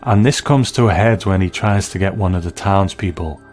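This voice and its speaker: A man giving conversational review commentary, reflective and measured, as narrator. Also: low voice, darkish tone, faint music behind.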